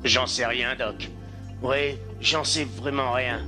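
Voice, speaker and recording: A man's voice: medium pitch, fairly even intonation, slow pace, lightly acted. A man playing a grave village leader in a French-dubbed cartoon, solemn in his delivery. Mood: worried. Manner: solemn, instructing. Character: grave, trusting village man sending off sled dogs. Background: music.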